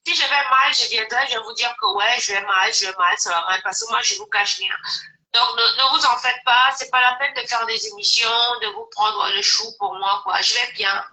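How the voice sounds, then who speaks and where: A woman's voice: fairly high pitch, a little fast, sharp tone. A woman with the flu chatting casually and emotionally as a guest on a live social media call. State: has the flu. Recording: roomy echo, distant from the mic.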